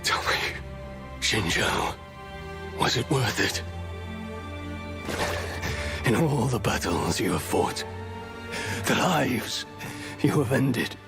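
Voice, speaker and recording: A man playing a bitter old friend in a dramatic game story, grave, measured and reproachful, over music. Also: medium pitch, animated, creaky. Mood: solemn, reproachful. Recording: music underneath.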